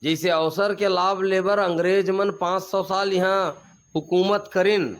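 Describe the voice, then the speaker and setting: A man's voice: high voice, steady tone, measured pace. A man with a Chhattisgarhi accent, a regional activist giving fiery, emphatic interview answers and repeating slogans.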